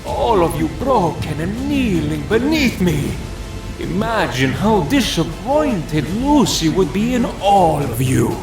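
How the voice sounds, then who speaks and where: A man's voice: high voice, sing-song, takes it slowly, gravelly, music in the background, full character voice. An actor playing a menacing ancient male vampire lord, gloating and theatrical, in an audio drama.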